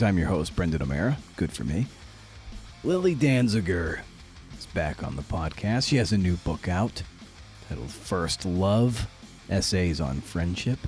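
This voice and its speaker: Low-voiced man hosting a podcast, dry close sound. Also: darkish tone, no room sound. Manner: wry, jokey asides and interjections. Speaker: podcast host. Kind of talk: casual, chatty podcast intro and interviewing.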